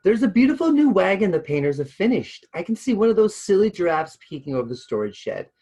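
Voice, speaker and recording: A dreamy young seamstress girl from a storybook , read by a man, with the pitch lifted. She is eager, easily distracted and quick with a guilty excuse. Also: very animated, mellow, slightly rough, muffled recording, exaggerated voice. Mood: excited excuses.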